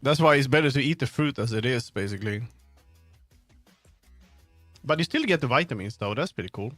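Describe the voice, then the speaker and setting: Male streamer, medium pitch, loose off-the-cuff chat, thinks aloud and trails off, dry close mic over background music. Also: expressive, fairly quick, a bit bright, dry recording. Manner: rambling, thinks aloud, trails off mid-sentence. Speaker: livestreamer, game developer. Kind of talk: casual rambling livestream chat while working.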